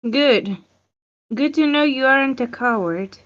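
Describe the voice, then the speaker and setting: A woman's voice: sits high, a little slow. A woman playing a stern, goading female combat trainer with a curt, commanding manner in a screenplay table read. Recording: dull lo-fi online meeting audio.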